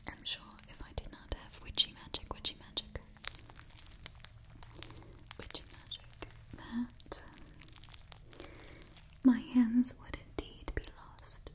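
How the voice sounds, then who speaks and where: A woman in her twenties doing a whispered ASMR roleplay as a witch hosting a party, speaking gently and deliberately into a close microphone, softly repeating words. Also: a little high, monotone. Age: 20s. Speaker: ASMR performer. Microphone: close microphone, near the camera.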